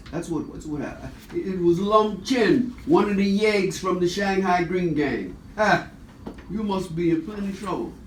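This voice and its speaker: A sneering, slangy wise guy played by a man in a radio crime drama. His voice sits fairly high for a man and sounds echoey, taunting and emphatic. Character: sneering, slangy male tough guy, mocking and accusing. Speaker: actor. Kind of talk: rehearsed scripted gangster-era radio play. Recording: distant echoey rehearsal, faint noise.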